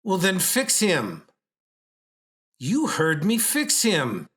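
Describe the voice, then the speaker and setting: A man's voice: high voice, huge pitch swings, drawn-out words, a bit bright, heavily acted. A man playing an insistent, commanding United States President in a radio play. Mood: demanding. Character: forceful, grateful male US President.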